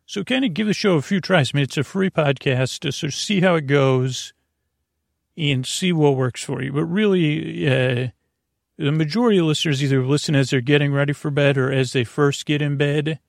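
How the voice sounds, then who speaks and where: Creaky-voiced man rambling a bedtime monologue. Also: fairly high pitch, dry recording, studio quality. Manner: meandering, halting, full of tangents and self-corrections. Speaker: podcast host. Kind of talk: rambling bedtime monologue meant to lull listeners to sleep.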